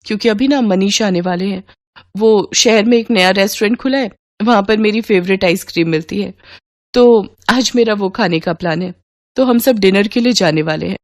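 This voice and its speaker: A woman's voice: mid-range voice, normal speed, no room sound, studio quality, natural voice. Woman playing a firm young married daughter. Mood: firm, defensive. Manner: polite but firm. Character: loyal young married daughter defending her in-laws. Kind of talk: dramatized moral story.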